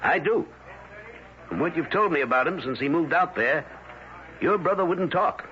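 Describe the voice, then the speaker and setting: An actor in an old-time radio drama playing a skeptical, hard-nosed newspaper editor who talks in blunt, challenging bursts. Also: male voice, fairly high pitch, lively intonation, normal speed, bright, forceful tone. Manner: blunt, challenging, clipped questions. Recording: muffled, noisy old radio recording.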